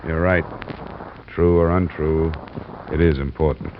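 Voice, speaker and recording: An American actor playing a hard-boiled insurance investigator in a vintage radio drama, dry, clipped and matter-of-fact. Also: male voice, extremely low pitch, fairly even intonation, measured pace, noisy background. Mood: probing, guarded. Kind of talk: 1940s-50s radio detective acting and narration. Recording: muffled old radio recording with music.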